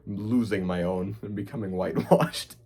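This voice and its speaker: Young male cooking host, Hungarian accent gone a bit American, low husky voice, profane off-the-cuff vlog rant. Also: steady tone, normal speed, dark tone. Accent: Hungarian, partly Americanized. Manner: profane, rambling, with frequent fillers. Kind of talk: casual rant to camera.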